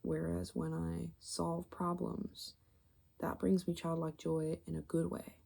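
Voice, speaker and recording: A woman with a soft, mellow voice that crackles heavily with vocal fry. She talks in a casual ASMR style, drifting into breathy whispers as she meanders through her thoughts. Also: on the low side, completely flat delivery. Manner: musing, meandering, halting mid-thought. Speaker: ASMR video creator. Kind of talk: ASMR, rambling casual personal explanation.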